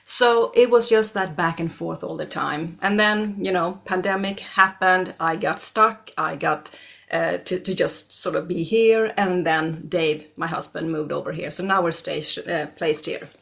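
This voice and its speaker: A woman's voice: lowish voice, measured pace, gravelly, a bit bright. A middle-aged Swedish woman with a Swedish accent, a native Swedish speaker, speaking as an astrologer guest in an interview, hesitant with many fillers and restarts. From Sweden. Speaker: astrologer and regression therapist guest. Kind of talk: conversational interview.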